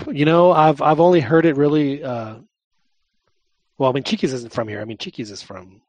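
Husky-voiced man hosting a podcast. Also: fairly high pitch, no room sound, rough recording. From Texas. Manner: halting, repeats words, joking. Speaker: podcast host. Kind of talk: casual podcast chat.

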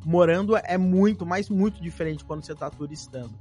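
A Brazilian man hosting an interview, chatting in an animated way with lots of fillers. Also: high voice, brisk, breathy edge, soft background music. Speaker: podcast host. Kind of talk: casual interview chat.

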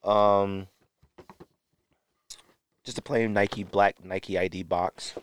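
A man's voice: lowish voice, steady tone, very slow, clear, resonant tone. A man hosting a sneaker podcast, talking casually and unscripted to the camera, rambling a little and correcting himself as he shows things. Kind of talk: casual show-and-tell talk to camera.

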